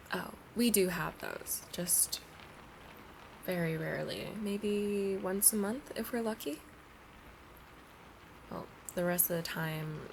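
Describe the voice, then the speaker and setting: A woman's voice: mid-range voice, creaky, a little hiss or hum, rough recording. A woman playing a playful, pleading young alien princess at college in an ASMR roleplay, chatting and coaxing the listener directly. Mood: gentle, considerate.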